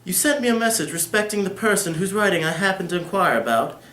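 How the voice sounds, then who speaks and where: A man's voice: high voice, lively intonation, fast, a bit bright, pitched above the performer's own voice. A man reading the part of a languid, careless, haughty aristocratic lady in a formal dramatic reading. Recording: echoey room.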